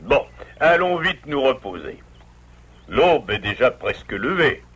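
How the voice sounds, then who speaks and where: A man playing a gentle, learned beaver father in a French cartoon, speaking in a measured, composed way. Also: mid-range voice, sing-song, a little hiss or hum. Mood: calm. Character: calm, wise adult male beaver father, gentle and learned. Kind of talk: French-dubbed cartoon acting.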